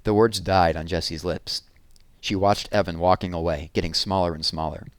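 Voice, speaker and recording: A man reading a children's novel aloud with expressive storytelling. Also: low voice, moderate pace, dry recording. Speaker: reader.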